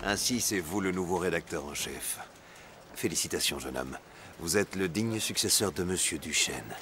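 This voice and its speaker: An actor playing an enigmatic, courteous older gentleman in a French cartoon, formal, measured and ominous. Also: low-pitched, expressive, very noisy. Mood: cool, courteous. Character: enigmatic older gentleman with a cane and a bad leg, grave, courteous. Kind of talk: French animated drama acting.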